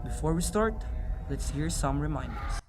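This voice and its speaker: A man's voice: fairly high pitch, animated, normal speed, loud music behind the voice. A man hosting a radio show as DJ, presenting from a script. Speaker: radio DJ host.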